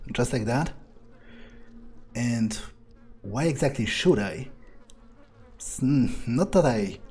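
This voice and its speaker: A man voicing a wry, cocky fledgling vampire in an improvised game role-play, speaking informally with musing pauses. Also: mid-range voice, warm tone, lightly acted. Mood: sceptical, musing. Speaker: gamer role-playing as his character. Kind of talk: improvised in-character game playthrough.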